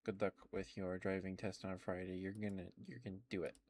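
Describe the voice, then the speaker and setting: Soft-spoken man on a close ASMR mic. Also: deep voice, little pitch movement, fairly quick. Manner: soft, gentle, reassuring. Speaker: ASMR streamer. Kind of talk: whispered ASMR affirmations and casual stream chat. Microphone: close ASMR microphone with headphones.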